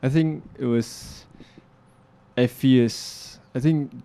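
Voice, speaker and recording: Gravelly-voiced man, earnest. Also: medium pitch, fairly even intonation, warm tone. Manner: reflective, earnest, halting with restarts and fillers. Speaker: interview guest, filmmaker and music producer. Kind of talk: casual interview talk.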